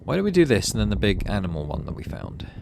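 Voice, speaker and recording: A man's voice: on the low side, wildly swooping pitch, fast, gravelly, noisy background. A man doing let's play commentary on a game, reading narration aloud and thinking through choices in tentative asides. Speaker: gaming video host.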